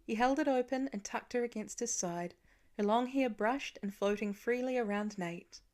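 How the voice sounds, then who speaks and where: A woman's voice: on the high side, normal speed, darkish tone. A woman reading a story aloud as an audiobook narrator in scripted narration.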